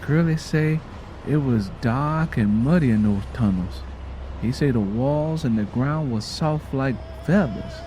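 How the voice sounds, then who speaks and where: A man's voice: medium pitch, animated, unhurried, mellow, slight background noise, close to an everyday voice. A man voicing a sorrowful mother who recounts hard family history in a plainspoken, reflective, folksy way. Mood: somber.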